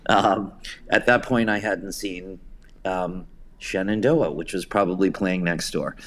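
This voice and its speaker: A man's voice: low voice, unhurried, fairly bright tone. A man from suburban New York with an American accent, a podcast guest telling casual anecdotes with wry, self-deprecating humor. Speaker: podcast guest, theater director and writer.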